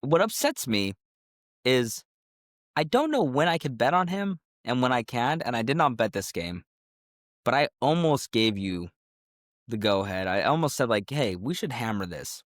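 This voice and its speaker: A man's voice: mid-range voice, sing-song, moderate pace, no echo, clean studio sound. A man co-hosting a sports podcast in casual banter, joking and laughing often, a little under the weather. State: sick, recovering from illness. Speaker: podcast co-host.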